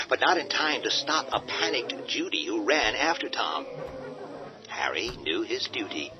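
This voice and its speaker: A man narrating a horror radio drama in a steady, dramatic storytelling manner. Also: lowish voice. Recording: dull lo-fi with music beneath.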